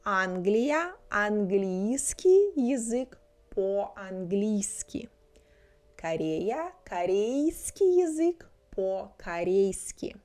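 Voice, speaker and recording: A woman narrating a scripted language lesson, explaining grammar slowly and carefully. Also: medium pitch, very animated, a little mellow. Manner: slow, carefully spelled-out explanations. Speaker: language teacher.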